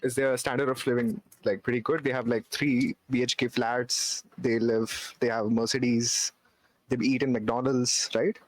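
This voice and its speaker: A man's voice: mid-range voice, little pitch movement, measured pace, no echo, low-quality recording. A young man from India, a philosophy student who challenges and repeats his questions, mocking at times. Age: young adult. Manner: repetitive, challenging, mocking with laughs. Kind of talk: casual debate on video chat.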